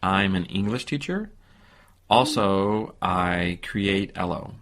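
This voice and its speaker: Slow, low-voiced American man in his forties. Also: lively intonation, a bit bright. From San Francisco, United States. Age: 40s. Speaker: English teacher. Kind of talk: slow, simple scripted-style interview answers for beginner learners.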